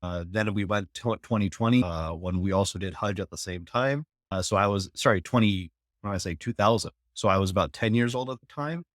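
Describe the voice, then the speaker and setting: A man's voice: fairly low pitch, brisk, no echo, studio quality. A man in his thirties from New York, telling personal stories as an interview guest in an earnest, reflective way. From New York, United States. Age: 30s. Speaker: interview guest, technology executive.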